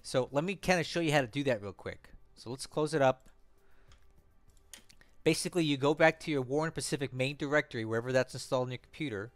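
A man's voice: mid-range voice, fast talker, no echo, soft background music. A man with a slightly stuffy voice from a cold, hosting a casual screen-share tutorial and explaining as he goes, often checking in with "right?" and "okay?". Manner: conversational, repeats "right?" and "okay?", thinks aloud. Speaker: strategy game tutorial host.

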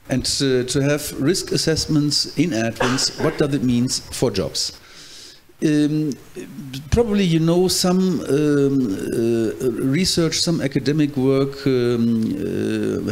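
Panelist, male, 50s, English; halting, earnest, handheld mic in a conference hall. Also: medium pitch, a little mellow. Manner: hesitant, many fillers and restarts, earnest explaining. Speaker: trade union panelist. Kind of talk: panel discussion answer.

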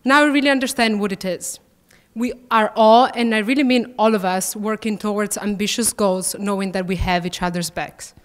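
A young woman in her twenties with an Italian accent, a student athlete giving a prepared speech at a podium, warm and gently self-deprecating. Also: mid-range voice, steady tone, moderate pace. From Italy. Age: 20s. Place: large banquet hall, at a podium. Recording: reverberant banquet hall.

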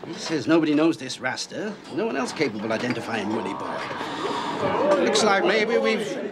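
Senior police detective: decisive, commanding, animated delivery, naturalistic crime drama, noisy street ambience. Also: male voice, on the high side, normal speed, a crowd in the background. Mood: briefing. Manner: terse, authoritative.

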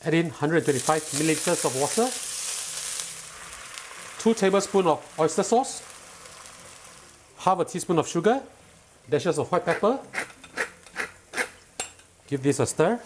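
A middle-aged man talks a viewer through a recipe in his home kitchen, calm and patient. His voice sits a little high for a man. He sounds close and clean on a small clip-on mic. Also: unhurried, pauses often, noisy background. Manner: calm, patient and instructive, enthusiastic when tasting. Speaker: cooking show host. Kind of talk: step-by-step cooking instruction.

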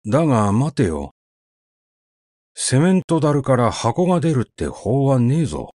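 A man reading the part of a rough, grumbling male cement laborer who talks in coarse, cursing speech. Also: mid-range voice, sing-song, unhurried, mellow, no room sound, studio quality. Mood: muttering.

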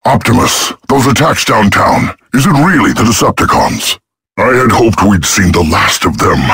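A man's voice: on the low side, vocal fry, fairly bright tone, slightly rough, no room sound, heavily acted. A man voicing a weary, watchful robot comrade in a video game, wary and cautious in manner. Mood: weary worry.

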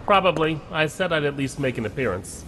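A man's voice: on the high side, lively intonation, a little fast, fairly bright tone, a little hiss or hum, poor audio. An actor in an audio drama playing a confident, restless male scientist with an assured manner. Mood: easygoing.

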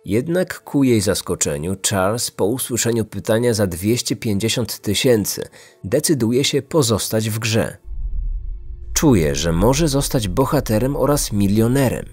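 Lowish-voiced male true-crime narrator. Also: expressive, unhurried, a little mellow, slightly rough. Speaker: podcast host, narrator. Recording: music under the voice.

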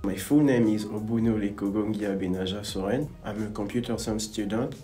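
A young man with a slightly low voice reflects on his year in a relaxed, thoughtful way. He sits in a university lobby facing the camera. Quiet music runs softly behind him. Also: brisk. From Gabon. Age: young adult. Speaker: university student. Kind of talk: interview to camera. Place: indoor university building lobby. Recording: faint music under the voice.

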